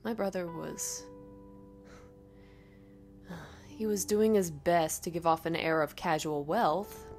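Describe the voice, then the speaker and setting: A woman narrating a scripted first-person horror story in a dramatic, expressive way. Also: lowish voice.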